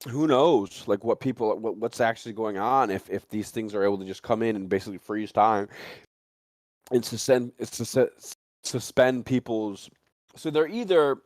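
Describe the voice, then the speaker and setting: A man's voice: on the low side, normal speed, darkish tone, no echo. A man chatting casually and speculating in a rambling, repetitive way, with some swearing. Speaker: livestream talk participant. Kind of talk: casual chat, animated storytelling and speculation.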